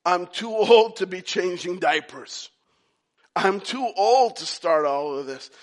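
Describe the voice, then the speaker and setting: This is a very old man who has waited decades for a promise and keeps asking whether he heard it right, played by a preacher in his own sermon. His pleading questions come around again and again. He speaks in a high voice at an unhurried pace. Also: expressive. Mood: weary doubt.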